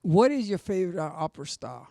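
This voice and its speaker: A man with a somewhat high, gravelly voice hosts a cable access show, bantering and bragging in a joking, boastful way. His voice sounds close and dry, with no room around it. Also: big pitch swings, fast, dark tone, no room sound. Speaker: host. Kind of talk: casual chat. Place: studio.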